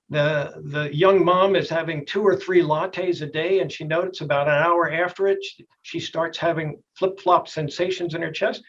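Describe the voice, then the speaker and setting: A middle-aged or older man with a slightly husky voice, a doctor explaining things in a plainspoken, folksy way in a TV health interview. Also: fairly high pitch, muffled recording. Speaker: doctor, expert guest.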